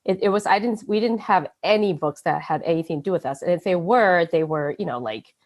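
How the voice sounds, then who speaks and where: Interview guest: American woman, slightly low voice, informal and conversational, chatty filler-laden phrasing. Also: a little fast, a little mellow. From born in Taiwan, grew up in the United States from age seven. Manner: informal, frequent "like" and "you know".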